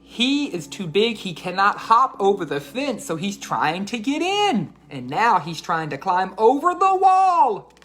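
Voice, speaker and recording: A woman's voice: medium pitch, very animated, unhurried, few pauses, a bit bright, faint music behind. A woman hosting a children's read-aloud, narrating a storybook in a teacherly way and putting questions to listeners.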